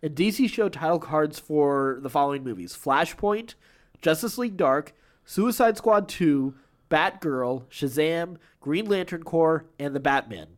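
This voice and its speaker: A man's voice: fairly high pitch, lively intonation, unhurried. A man co-hosting a podcast, chatting casually about entertainment news. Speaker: podcast co-host.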